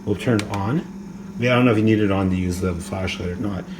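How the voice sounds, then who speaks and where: A man with a fairly low voice thinks aloud as he demonstrates a gadget. His delivery is casual, rambling and tentative. It is a phone recording made indoors, with noticeable background noise. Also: fast talker. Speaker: amateur gadget reviewer. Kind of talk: casual, unscripted product demonstration. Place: indoors, kitchen counter. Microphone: phone camera, held or propped nearby.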